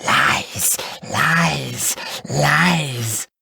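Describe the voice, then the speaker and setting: A man voicing a jeering goblin creature with a hoarse, indistinct voice in a storybook reading. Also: mid-range voice, drawn-out words, pushed and bright, no echo, pitched above the performer's own voice, heavily acted. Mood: mocking.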